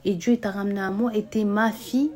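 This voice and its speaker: A woman's voice: low-pitched, steady tone, unhurried, faint music behind, voice pushed down. A woman voicing a loving, protective adoptive mother in a spoken story, emphatic and reassuring. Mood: insistent.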